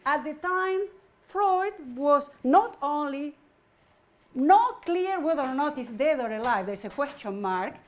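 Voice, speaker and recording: Halting woman lecturer from Argentina. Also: on the high side. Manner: thoughtful, halting with frequent fillers. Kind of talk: academic lecture. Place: university lecture room.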